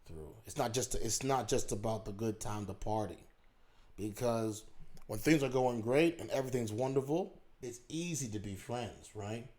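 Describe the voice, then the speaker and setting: A man's voice: mid-range voice, moderate pace, darkish tone. A man giving a casual monologue as a YouTube commentator, reflective, posing rhetorical questions to the viewer and circling back over his own words. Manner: talks in loose restarts and repeats himself.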